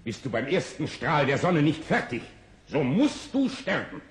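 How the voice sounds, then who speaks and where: A man's voice: a little high, big pitch swings. A man playing a greedy, stern king with an imperious, pompous delivery in an old fairy-tale radio play. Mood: stern command. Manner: pompous, imperious, drawn-out words. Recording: long reverberant hall sound.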